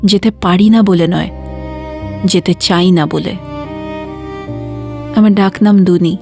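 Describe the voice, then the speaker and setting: A woman's voice: lowish voice, steady tone, slow, vocal fry, a little mellow, faint music behind. A woman playing a weary, devoted daughter who cares for her bedridden father, confiding and emotional in an intimate audio drama monologue. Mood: weary, resolute.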